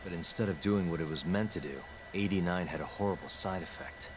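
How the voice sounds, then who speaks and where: Casting: man as a composed, deliberate game detective, notably deep register, noisy backdrop. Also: natural voice. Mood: grave. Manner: deliberate, serious, reasoning. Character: calm, deep-voiced serious male sleuth. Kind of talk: video game dialogue acting.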